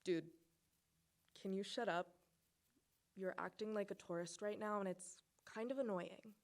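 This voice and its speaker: Woman putting on an annoyed young friend, flat, dismissive, low-volume muttering. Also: a little slow, lightly acted. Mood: irritated.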